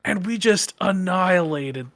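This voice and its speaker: A man with a soft voice sits in on a casual podcast chat. His pitch runs high and his tone is bright. He often restarts his sentences as he tells a story. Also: unhurried, no echo. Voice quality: fairly soft voice. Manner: animated, restarts phrases often. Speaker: podcast guest.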